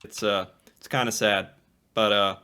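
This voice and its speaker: American man musing, bright tone. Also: fairly low pitch, steady tone, unhurried, clean tone. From United States. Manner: musing, conversational, laughs a little. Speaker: co-host reacting to a video. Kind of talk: casual reaction commentary.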